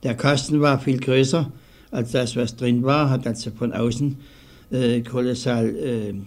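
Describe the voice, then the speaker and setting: Older man recalling things, halting and deliberate. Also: medium pitch, fairly even intonation, a little mellow. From southwestern Germany. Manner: halting, deliberate, old-fashioned formal phrasing. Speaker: interviewee, early radio hobbyist. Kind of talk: recollections in an interview. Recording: 1950s archival radio recording.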